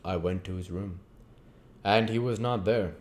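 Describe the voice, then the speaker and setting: A male narrator with a low voice reads literary prose aloud. He delivers it in an audiobook style as the host of the reading. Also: unhurried, darkish tone, a little hiss or hum.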